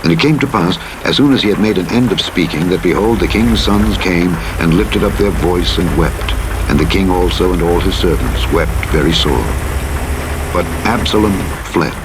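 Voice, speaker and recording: A man with a very deep voice reads scripture in a measured, solemn narration. His delivery is steady and unhurried. Rain and an engine are clearly heard behind him. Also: background noise. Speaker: scripture reader.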